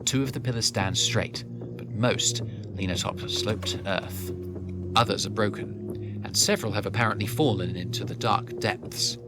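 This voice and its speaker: Low-voiced male fantasy narrator. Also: a little slow, loud music behind the voice. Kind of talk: scripted fantasy audio drama narration.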